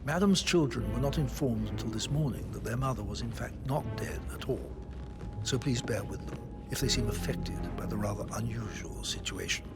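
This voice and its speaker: An actor playing a discreet, deferential English butler in a game, measured, grave and very formal. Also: male voice, medium pitch, expressive, loud music behind the voice, close to an everyday voice.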